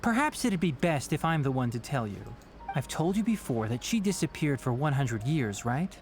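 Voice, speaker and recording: A man playing an earnest, thoughtful scholar in a video game, explaining things in a measured, respectful way. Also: on the high side, big pitch swings, faint music behind. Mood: solemn, explanatory. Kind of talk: video game voice acting, storytelling.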